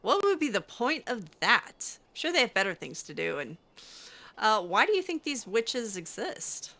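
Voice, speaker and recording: Bright, higher-voiced woman playing in character. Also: very animated, normal speed. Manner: playful, reacting in character. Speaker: gamer doing a roleplay playthrough. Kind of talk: casual in-character game commentary.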